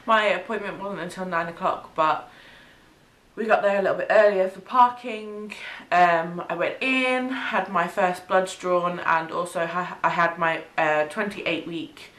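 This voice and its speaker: A young woman from the UK, chatting casually in a home vlog, sniffly from a cold, with frequent ums and soft laughs. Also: on the low side, fairly even intonation, a little slow. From United Kingdom. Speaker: vlogger. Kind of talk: casual pregnancy update vlog. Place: small bedroom or home studio, indoors. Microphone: camera mic, head-and-shoulders framing. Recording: echoey room.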